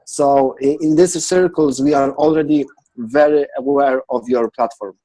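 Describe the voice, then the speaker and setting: Mellow-voiced man, calm meeting guest. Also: mid-range voice, unhurried, dry recording, rough recording. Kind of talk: business meeting talk.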